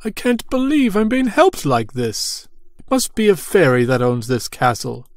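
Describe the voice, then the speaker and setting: A man playing a kindly, timid merchant father in a children's fairy tale, speaking in an expressive, exaggerated and gentle way. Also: on the high side, normal speed, dry recording, clean studio sound. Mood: grateful, musing. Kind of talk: children's storybook character acting.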